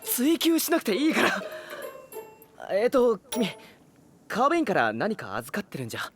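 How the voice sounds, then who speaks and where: A man's voice: high-pitched, wildly swooping pitch, normal speed, fairly bright tone, full character voice. A man voicing a polite, earnest, easily flustered young man in an anime game. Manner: courteous, boyish, flustered. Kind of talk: Japanese anime game voice acting. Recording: background music behind the voice.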